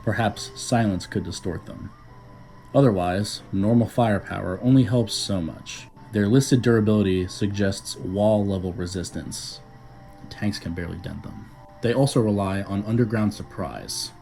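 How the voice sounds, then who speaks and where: A man with a fairly low voice delivers scripted, informational narration. His delivery is measured and formal, with music clearly playing behind him. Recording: loud background music.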